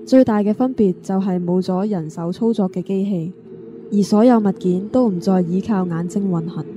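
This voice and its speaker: A woman's voice: mid-range voice, moderate pace, muffled recording, noisy background, lightly acted. A woman narrating a radio drama in a scripted, storytelling manner. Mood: somber. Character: female narrator of a world struck blind.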